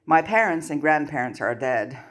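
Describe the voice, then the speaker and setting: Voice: mid-range voice, animated, moderate pace, fairly bright tone, close to an everyday voice. Narrator voicing an unsure teenage wizard boy. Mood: unsure, questioning.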